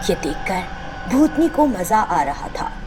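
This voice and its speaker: A woman's voice: mid-range voice, sing-song, slow, noisy background. A woman narrating a scripted moral tale as the storyteller.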